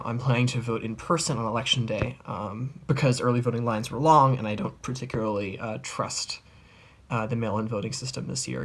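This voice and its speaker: Man, 19, from Brooklyn, hesitant, mid pitch. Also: creaky, a bit bright. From Brooklyn, New York. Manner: hesitant, many "uh" fillers. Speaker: college sophomore. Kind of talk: interview answers. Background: faint background music.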